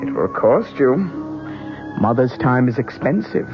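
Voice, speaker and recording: A sly older fortune-teller woman speaks in a measured, theatrical way, bargaining coolly. The old radio recording is dull, with music clearly behind it. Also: medium pitch, sing-song. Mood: sly, inviting. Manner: theatrical, measured, businesslike haggling. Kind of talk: 1970s radio drama acting.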